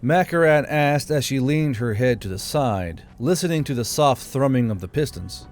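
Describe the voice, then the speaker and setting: A man's voice: medium pitch, animated, moderate pace, darkish tone. A man reading scripted fantasy narration as an audiobook narrator. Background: background music.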